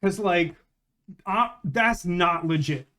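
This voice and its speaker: A man's voice: high voice, takes it slowly, bright, forceful tone. A man chatting casually on a live game stream, rambling and emphatic, with slangy phrasing.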